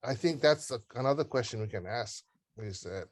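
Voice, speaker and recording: Halting middle-aged man on a group call. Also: medium pitch, animated. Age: 50s. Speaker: organiser leading a group planning call. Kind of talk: casual group call discussion.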